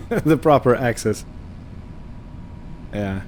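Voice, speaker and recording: A man giving casual roleplay game commentary, thinking aloud in short, unhurried phrases over a background hum. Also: medium pitch, animated, a little mellow. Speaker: gaming video host.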